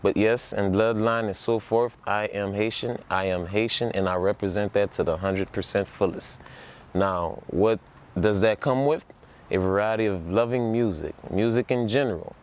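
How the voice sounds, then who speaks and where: A man's voice: on the low side, normal speed. A man from New Orleans talks casually and enthusiastically as a presenter, repeating words for emphasis. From New Orleans, United States. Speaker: presenter introducing a music production project. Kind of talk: casual informal talk to camera. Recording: music playing behind the voice. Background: music.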